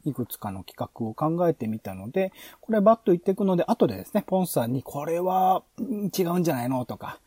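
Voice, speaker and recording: A male podcast host talks through plans casually. His voice is mellow and a little husky, and the pitch moves around a lot. He hesitates often, drawing out filler vowels and rephrasing mid-thought. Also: very animated, dry recording. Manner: halting, with drawn-out filler vowels and self-corrections. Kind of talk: casual planning talk, explaining proposals.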